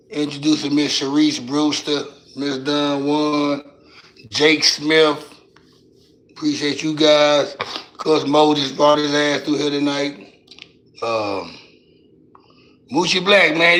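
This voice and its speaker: A raspy-voiced man, hosting a casual livestream and talking loose and profane into a phone mic from his couch at home. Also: on the high side, a bit bright, poor audio. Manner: loose, profane, drawling street talk. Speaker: livestream host.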